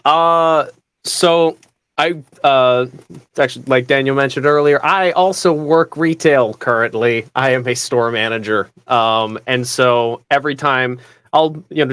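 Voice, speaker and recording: A man's voice: medium pitch, fairly bright tone, no room sound. A man chatting casually on a voice actors' panel, wry and anecdotal, recorded in a home space. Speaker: voice actor and retail manager.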